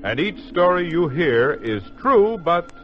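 A man's voice: a little high, big pitch swings, a little slow. A man announcing a mystery radio program in 1950s broadcast style. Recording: dull 1950s radio recording over music.

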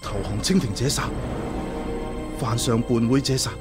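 A man's voice: medium pitch, measured pace, natural voice. Actor as a hesitant lovesick swordsman. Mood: ashamed, pleading. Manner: hesitant, pleading. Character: lovesick, guilt-ridden young swordsman. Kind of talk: Cantonese radio drama acting. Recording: radio drama under loud music.

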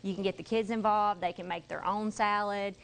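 A woman's voice: medium pitch, steady tone, brisk, gravelly, clean tone. A woman, a dietitian explaining a recipe on a TV cooking segment in a polite, eager way. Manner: polite, eager, agrees often with yes sir. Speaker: registered dietitian guest. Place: TV studio kitchen set.

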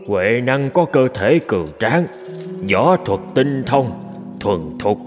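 A calm old abbot from a cartoon folk tale, addresses his pupils. His delivery is measured, solemn and teacherly, at a middling pitch, with soft music behind him. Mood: thoughtful. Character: old bearded temple abbot, calm, wise master. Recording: music under the voice.

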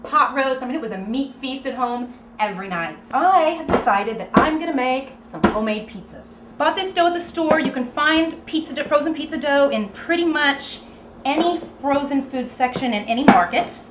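Woman, 30s, from Oklahoma; bubbly home-cook audition tape, slightly high voice, echoey home kitchen. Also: lively intonation, moderate pace. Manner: chatty, bubbly, explains as she cooks. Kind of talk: upbeat cooking demo to camera. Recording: echoey kitchen with faint room noise.

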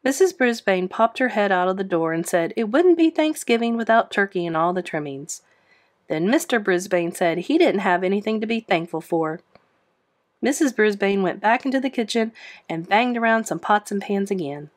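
A woman narrating a children's book aloud in an expressive storybook style. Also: medium pitch, moderate pace.

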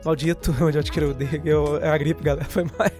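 A man's voice: a little high, steady tone, a little fast, a little mellow. Chatty Brazilian man over music. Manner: chatty, jokey, informal. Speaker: gaming channel host. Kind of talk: casual, slangy game update voice-over.

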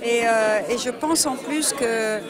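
A woman's voice: fairly high pitch, slow. Assured woman speaking over crowd noise. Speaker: supporter commenting on the speech. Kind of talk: interview comment.

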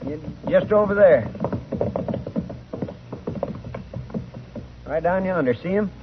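An American actor playing an earnest, excitable marshal's helper with rustic frontier talk in a radio western. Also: male voice, high voice, fairly quick. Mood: alert. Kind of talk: 1950s radio western acting. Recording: tinny, noisy vintage radio recording.

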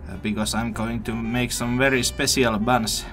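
A young man whose first language is Finnish, narrating his day as a student news host, with relaxed pauses and fillers. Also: mid-range voice, soft background music. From Finland. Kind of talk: casual vlog narration and news hosting.

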